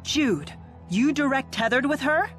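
A woman acting a proud, stern young female spirit lord in a video game dub, speaking in a formal, measured way. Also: sits high, expressive, soft background music. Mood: flustered.

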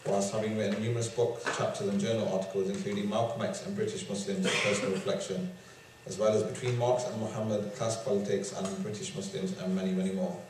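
A man's voice: lowish voice, flat delivery, fast, background noise. A young man hosting a student event, introducing the speaker with many hesitations. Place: large lecture hall at podium. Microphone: far camera. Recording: echoey hall from far off.

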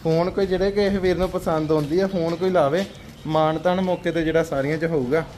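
Fast-talking Punjabi man, raised pitch, livestock dealer giving an on-site sales walkthrough; faint farmyard noise. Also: steady tone, a little mellow. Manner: brisk, asks prices then pitches to viewers. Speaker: livestock dealer showing buffaloes for sale.

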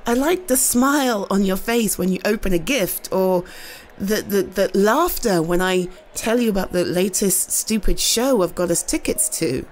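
A British woman playing an affectionate, slightly shy girlfriend in an intimate roleplay, speaking softly and hesitantly to her partner. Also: mid-range voice, animated, close to an everyday voice. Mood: tender, earnest. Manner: soft, hesitant, tender, with halting repeats.

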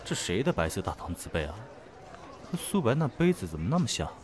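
A man's voice: on the low side, sing-song, brisk, in a large hall, noisy background. A young man acting a brash, foul-mouthed but secretly fond male student in a radio drama. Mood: gruffly fond. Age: young adult.

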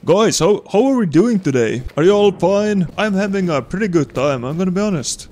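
A young adult man, a non-native English speaker, giving casual, excitable let's-play commentary, swearing and talking to viewers. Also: high voice, fairly quick. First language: not English. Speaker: gameplay commentator.

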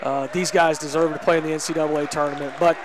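Candid coach in a post-game interview. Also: male voice, a little high, little pitch movement, fast, a faint crowd, slight background noise, low-quality recording. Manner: candid, emphatic, many fillers. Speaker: head basketball coach. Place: indoor gym court. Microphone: headset.

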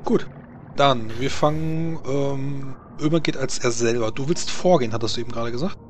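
A man's voice: medium pitch, moderate pace. A man running a tabletop role-playing game as game master, narrating scenes and rulings to his players. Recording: noisy background with room ambience.

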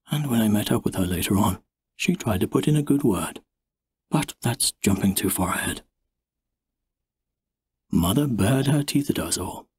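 Husky male storyteller, slow and swooping. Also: fairly low pitch, dry recording. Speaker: audiobook narrator. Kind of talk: expressive storybook narration.

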